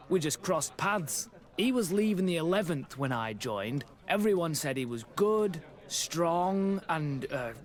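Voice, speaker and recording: Brief: green young army recruit, higher voice, flustered, stammering, eager to please, game dialogue. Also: male voice. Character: nervous, deferential young male army recruit. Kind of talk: video game character acting.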